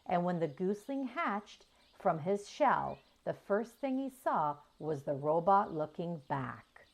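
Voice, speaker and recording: Animated, unhurried woman reading children's stories. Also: fairly low pitch. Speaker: children's audiobook reader. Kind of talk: expressive scripted storytelling for children.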